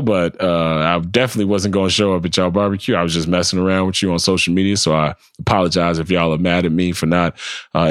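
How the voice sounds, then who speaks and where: A man's voice: deep voice, dry recording, clean studio sound. An American man hosting a sports podcast, talking casually and bluntly, with slang, swearing, jokes and phrases repeated for emphasis. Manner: blunt, joking, slangy and profane. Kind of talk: casual opinionated sports talk.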